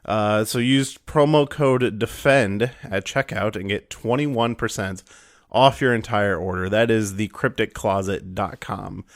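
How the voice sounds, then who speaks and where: A young man hosting a podcast, hoarse and raspy from a cold, rambling through his intro with fillers and restarts. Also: fairly low pitch, measured pace, no echo, clean studio sound. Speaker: podcast host.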